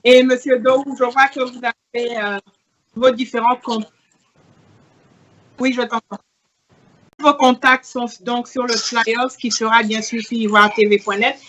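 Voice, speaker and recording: A woman's voice: fairly high pitch, steady tone, unhurried, pauses often, a bit bright, dull audio, slight background noise. A woman hosting an online interview, asking polite, slightly hesitant questions. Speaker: interviewer host.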